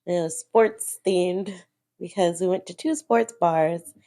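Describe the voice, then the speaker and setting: A woman's voice: on the low side, slow pace, mellow. A woman, co-hosting a podcast and recounting events in a chatty, giggly way. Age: 30s. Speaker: podcast co-host. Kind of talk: casual chat.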